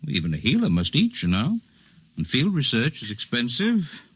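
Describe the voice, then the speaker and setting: An actor playing a calm, erudite alien healer in a 1950s radio drama, speaking in formal, precise, gently condescending phrases. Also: male voice, medium pitch, normal speed, slightly rough, dry recording, exaggerated voice. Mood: lecturing. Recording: 1950s radio broadcast recording.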